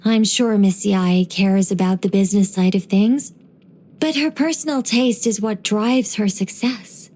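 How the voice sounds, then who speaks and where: A woman voicing a gentle, wise young angelic woman in game voice lines, soft and wryly musing. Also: medium pitch, unhurried, lightly acted.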